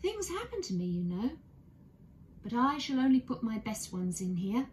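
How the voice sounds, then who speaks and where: A woman's voice: a little high, big pitch swings, moderate pace, a little mellow, slight background noise. A woman voicing an earnest, polite, apologetic young bear in a children's storybook reading. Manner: earnest, courteous, eager to please.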